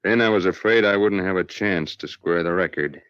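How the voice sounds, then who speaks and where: A man's voice: low-pitched, moderate pace, a bit bright, clean tone, dry recording. An actor playing a stern, weary Old West lawman in terse, plain-spoken old-time radio western style. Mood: grim, resolute. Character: stern, resolute Old West lawman named George, weary and conscience-stricken. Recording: muffled, dull old radio recording.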